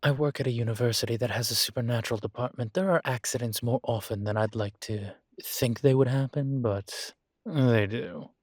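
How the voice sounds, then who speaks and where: A man playing a vain, seductive yet politely awkward incubus demon professor in an intimate audio roleplay, speaking hesitantly with drawn-out phrasing. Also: mid-range voice, darkish tone, dry recording, clean studio sound, natural voice. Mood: apologetic. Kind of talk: intimate audio roleplay, one-sided conversation.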